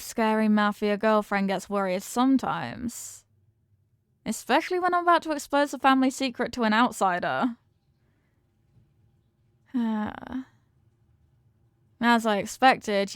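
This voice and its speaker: A woman's voice: a little high, animated, a little slow, pauses often, smooth, no echo, clean studio sound. A woman playing a confident mafia boss girlfriend in an intimate romantic audio roleplay, talking tough but tenderly with affectionate slang. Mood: teasing, admiring. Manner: affectionate tough talk with slangy pet names.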